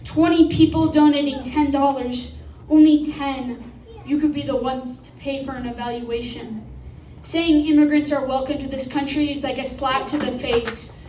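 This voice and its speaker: A woman reciting slam poetry live at a venue, with emphatic, rhetorical, measured phrasing. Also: high voice, steady tone, darkish tone. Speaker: slam poet. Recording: distant roomy live venue recording, noisy.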